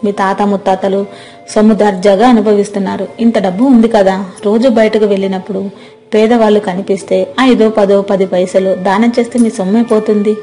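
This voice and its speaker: Woman playing a mellow-voiced, reproachful wife. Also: mid-range voice, flat delivery, brisk, close to an everyday voice. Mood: reproachful, earnest. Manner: earnest, admonishing. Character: kindly, moralizing wife reproaching her miserly husband. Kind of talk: dramatized moral story. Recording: music behind the voice.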